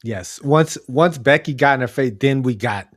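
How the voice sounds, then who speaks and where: A man's voice: medium pitch, moderate pace, no room sound, studio quality. A man co-hosting a podcast in casual chat, giving his opinions and repeating phrases for emphasis. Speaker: podcast co-host. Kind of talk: casual chat, pro wrestling commentary.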